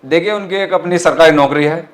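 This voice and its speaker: A man's voice: fairly high pitch, fairly quick. A man with a western Uttar Pradesh accent answering interview questions as a party activist, earnest and emphatic, often repeating his phrases. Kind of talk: interview answers with political rhetoric.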